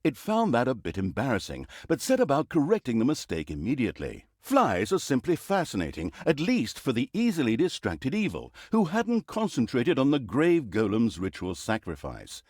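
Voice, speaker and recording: An actor playing a pompous, mocking narrator of an evil fantasy video game, with theatrical storybook delivery and dry sarcasm. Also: male voice, mid-range voice, huge pitch swings, normal speed, hardly any pauses, a little mellow, no echo. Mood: arch, mocking. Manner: arch, dryly sarcastic, dramatic emphasis.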